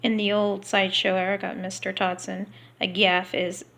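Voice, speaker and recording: A woman reading a book aloud unrehearsed, halting here and there and slipping into casual asides. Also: mid-range voice, level tone, gravelly, a bit bright.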